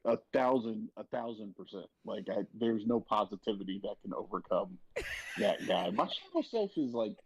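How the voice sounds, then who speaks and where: A man's voice: fairly low pitch, lively intonation, normal speed, slightly rough, dry recording. A man in casual chat, wry and rambling, repeating words for emphasis, on a slightly dull close recording. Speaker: cast member on a panel.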